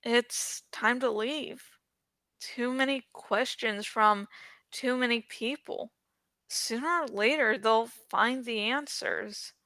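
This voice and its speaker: A woman reading the thoughts of a troubled, resolute young farm boy in a storybook, muttered and deliberate. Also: a little high, pushed and bright, no echo, heavily acted. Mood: uneasy, resolved.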